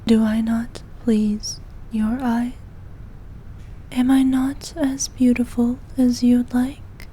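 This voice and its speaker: A woman playing a regal, possessive evil queen who dotes on her knight in an intimate ASMR roleplay, speaking formally and softly, at times in breathy whispers. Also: on the high side, little pitch movement, a little hiss or hum, rough recording. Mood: seductive, pleased. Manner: regal, slow, archaic formal speech.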